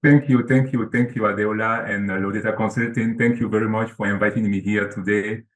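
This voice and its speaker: Low-voiced guy from cameroon chatting earnestly, lots of uh and repeats, echoey room. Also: fairly even intonation, fairly quick. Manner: earnest, repeats himself, many fillers. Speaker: guest author. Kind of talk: casual interview talk.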